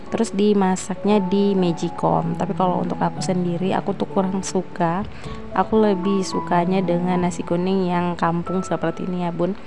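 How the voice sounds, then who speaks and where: A young woman with a hoarse voice from a sore throat, chatting casually as she narrates a cooking vlog voice-over. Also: lowish voice, little pitch movement, moderate pace. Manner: chatty, addresses viewers as "Bun". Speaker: vlogger. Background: background music.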